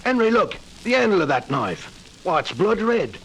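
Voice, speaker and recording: A pushy laborer. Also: male voice, sits high, sing-song, moderate pace, a bit bright, slight background noise, full character voice, rough recording. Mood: amazed. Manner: blunt, pushy. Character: grasping working-class man demanding his share. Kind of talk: 1940s radio acting.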